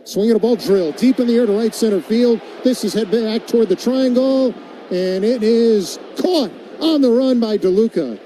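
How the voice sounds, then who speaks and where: A man's voice: very high voice, normal speed, mellow, poor audio. A man doing live radio play-by-play commentary of a baseball game as the announcer. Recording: background noise of an outdoor ballpark.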